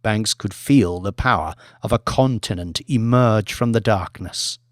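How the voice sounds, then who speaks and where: A man narrating dramatic fiction as an audiobook reader, in a measured, articulate storytelling manner. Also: on the low side, no room sound, studio quality.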